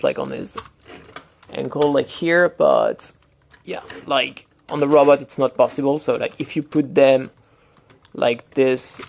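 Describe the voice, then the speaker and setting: A man's voice: medium pitch, gravelly, slight background noise. A man with a French accent and a rambling, hesitant delivery full of "like", giving a casual show-and-tell review at home. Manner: rambling, hesitant, heavy use of "like". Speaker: toy reviewer.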